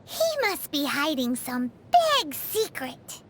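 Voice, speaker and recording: A woman voicing a tiny, chatty, cheeky floating fairy companion with a squeaky childlike voice in a video game. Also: sing-song, slow pace, sharp tone, heavily acted. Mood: gossipy. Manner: speaks of self in third person, perky and emphatic.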